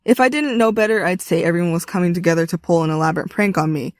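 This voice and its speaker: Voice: mid-range voice, fast talker, no echo, clean studio sound. A video creator narrating a scripted video essay.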